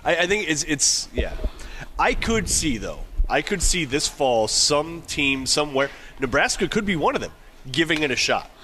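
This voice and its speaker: A man's voice: a little high, big pitch swings, normal speed, pushed and bright. A man with an American accent giving blunt, slangy, opinionated sports talk as a co-host, in a noisy on-location recording. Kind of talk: casual, opinionated sports chat. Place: on location at a golf tournament.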